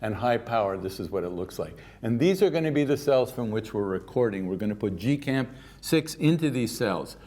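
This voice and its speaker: An older man from Canada giving a keynote science lecture, lively and informal, with offhand asides. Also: medium pitch, brisk, hardly any pauses. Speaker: keynote speaker.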